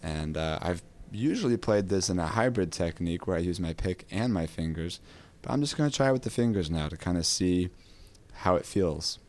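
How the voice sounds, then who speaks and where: A man hosting a casual podcast about guitar, his voice raspy from a cold, talking in a relaxed, rambling way with many fillers. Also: deep voice, fairly quick. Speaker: podcast host.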